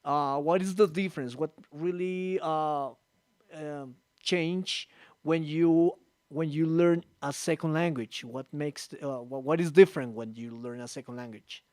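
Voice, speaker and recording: A man's voice: on the high side, fairly even intonation, unhurried, a little mellow, dry recording, clean studio sound. A man whose first language is Spanish, interviewing a guest on the radio in English, hesitant and restarting his questions often. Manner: hesitant, restarting phrases, many "uh".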